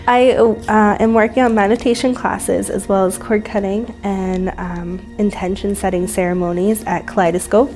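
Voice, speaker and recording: Brief: gentle, giggly woman healer, plain casual answers. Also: mid-range voice, steady tone, normal speed, few pauses, faint music behind. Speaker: energy healer.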